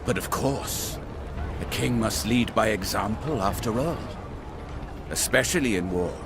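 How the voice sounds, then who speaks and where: A man's voice: lowish voice, a little slow. A man playing a self-important, world-weary king under siege in a video game, bombastic and wry with swooping emphasis. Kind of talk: dramatic video game acting. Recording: noisy background ambience.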